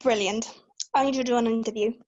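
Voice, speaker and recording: A woman's voice: on the high side, steady tone, fast talker, darkish tone, muffled recording, natural voice. A woman reading the part of a pushy, needling newspaper editor in his late fifties at an amateur script read. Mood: breezy, smug.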